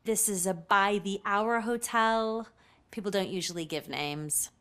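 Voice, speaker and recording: Jaded hotel clerk, slow, crisp bright voice, dry n unfazed, game. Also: female voice, mid-range voice. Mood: dismissive. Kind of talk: video game cutscene dialogue.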